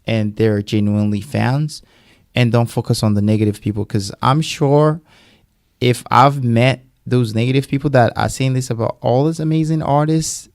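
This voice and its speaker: A man's voice: mid-range voice, moderate pace, no echo, clean studio sound. A man, a singer and podcast guest, talking casually and emphatically about his music and life. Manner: animated, emphatic storytelling with laughs.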